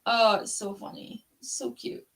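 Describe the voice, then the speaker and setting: A woman's voice: fairly high pitch, lively intonation, measured pace, vocal fry. A young woman hosting a casual book chat, gushing and sweary like an enthusiastic fan. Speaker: book club live show host. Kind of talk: casual chat about books.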